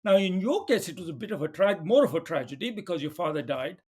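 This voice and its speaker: A man with a high-pitched voice hosts a podcast interview. He asks his questions gently and pauses often on small hesitations. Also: animated, breathy edge. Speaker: podcast host.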